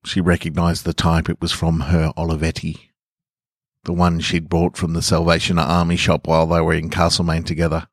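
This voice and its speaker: Deep voiced guy doing a podcast, reading little stories, really dry close recording. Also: dry recording. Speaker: podcast host and story reader. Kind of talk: casual podcast intro and narrated short-story reading.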